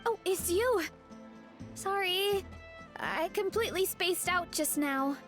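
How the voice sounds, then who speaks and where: A woman's voice: very high voice, expressive, slow, a bit bright, full character voice. A woman voicing a sweet, hesitant young warrior girl in a video game, dreamy and easily distracted. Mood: apologetic. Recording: music behind the voice.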